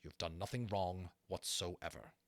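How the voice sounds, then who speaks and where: A man's voice: deep voice, a little slow, no echo. A man acting a stern, dutiful and courteous military captain in an audiobook, formal and firm. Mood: controlled courtesy.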